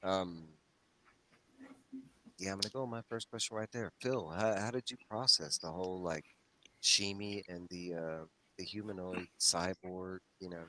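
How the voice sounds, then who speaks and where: A man's voice: low-pitched, moderate pace, sharp tone, dry recording, poor audio. A man hosting a casual group livestream discussion, talking in a folksy, informal way to his co-hosts and viewers. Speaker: host of a comics read-along livestream. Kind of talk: casual discussion and plot recap.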